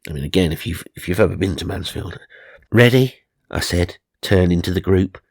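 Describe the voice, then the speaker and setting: Low-voiced man reading comic ghost stories. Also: little pitch movement, fairly quick, gravelly, darkish tone, slightly rough, no room sound, clean studio sound. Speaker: narrator and host. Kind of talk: comic reading of supernatural magazine stories with wry asides.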